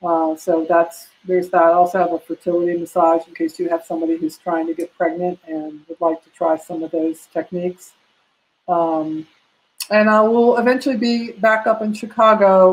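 Older woman, massage instructor, live video-call class from home; slightly low voice, chatty and practical. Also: low-quality recording. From United States. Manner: warm, practical, chatty teaching with many fillers. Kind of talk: live online class answering questions. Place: home room. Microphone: laptop webcam on a video call.

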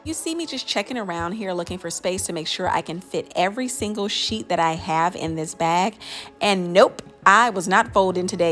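A woman's voice: medium pitch, fairly bright tone. A woman in her thirties chatting casually in a home vlog voice-over, rambling with lots of fillers and little laughs. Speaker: home organizing vlogger. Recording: faint background music.

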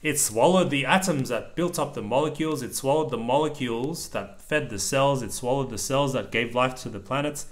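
A man's voice: medium pitch, fairly quick, few pauses. Man reading a picture book aloud. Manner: simple explanations and pointing out pictures for a small child. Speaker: parent reading aloud to a young child. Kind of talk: read-aloud of a children's picture book, with short explanatory asides. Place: indoors at a table over the open book.

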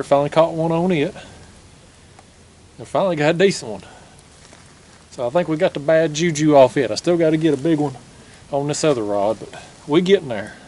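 A man's voice: a little high, darkish tone. A young man with a Southern American accent, chatting to viewers in a folksy way while fishing from a riverbank at night, recorded on an action camera. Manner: folksy, talks to viewers as "y'all", chuckles often. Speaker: fishing vlogger. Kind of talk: casual outdoor commentary. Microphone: action camera on the bank.

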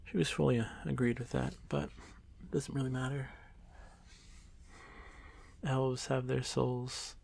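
A man playing a shy, nervous young male drider in an ASMR fantasy roleplay, hesitant, stammering and soft-spoken, apologising and often slipping toward a whisper. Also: medium pitch, level tone, a little slow, pauses often, heavily acted. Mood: hesitant, wistful. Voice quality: gravelly, creaky.

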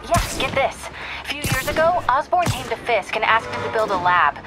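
An actress playing an earnest young reporter and ally in a video game, quick and determined. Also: female voice, on the high side, lively intonation, music in the background, natural voice. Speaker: game character.

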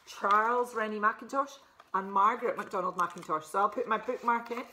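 Bright-voiced woman presenting for children. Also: mid-range voice, fairly quick. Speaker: author presenting a children's book. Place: furnished living room, indoors.

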